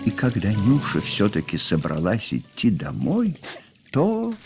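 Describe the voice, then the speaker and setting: A man reading scripted storybook narration for a cartoon, expressive and wry. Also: fairly low pitch, a little slow, vocal fry. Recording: studio voice over music.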